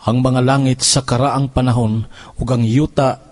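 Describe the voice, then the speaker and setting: A man's voice: mid-range voice, level tone, moderate pace, a little mellow. A man reading a Bible passage aloud in a solemn, scripted devotional style. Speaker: scripture reader.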